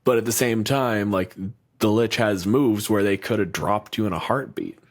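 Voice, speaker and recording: A man's voice: on the low side, normal speed. A man co-hosting a podcast, talking in blunt, profane, argumentative casual chat. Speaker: podcast co-host.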